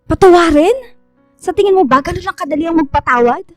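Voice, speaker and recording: High-voiced, feisty young provincial. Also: female voice, fairly quick, no echo, clean studio sound, faint music behind. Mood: reproachful. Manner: sarcastic, indignant. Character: feisty, sharp-tongued, loyal young provincial woman. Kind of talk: radio drama acting.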